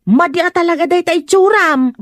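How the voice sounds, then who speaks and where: A woman's voice: very high-pitched, normal speed, dry recording, clean studio sound. A woman playing a caring, fretting woman who pleads and scolds, in an Ilocano audio drama. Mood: worried, insistent.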